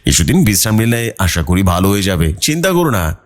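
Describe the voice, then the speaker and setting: A man voicing a calm village doctor in a horror story, giving measured reassurance. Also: deep voice. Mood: reassuring.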